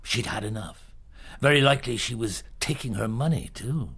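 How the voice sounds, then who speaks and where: Gravelly, probing police detective chief. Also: male voice, mid-range voice, a bit bright. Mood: accusing, measured. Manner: deliberate, probing, insistent questioning with pauses. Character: shrewd, relentless male police detective chief, middle-aged. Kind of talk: radio drama acting.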